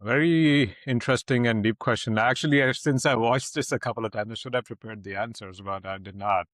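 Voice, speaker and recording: A man's voice: medium pitch, fairly quick, fairly bright tone, no echo, clean studio sound. A man in his thirties from India with an Indian accent, a public speaking coach talking as a podcast guest in a blunt, rambling way with plenty of fillers. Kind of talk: casual interview storytelling.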